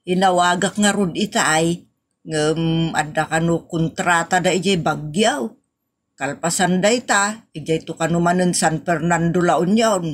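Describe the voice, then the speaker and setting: A woman's voice: fairly low pitch, measured pace. A woman playing a practical, persuasive wife in an Ilocano comedy audio drama, conversational and with an Ilocano accent.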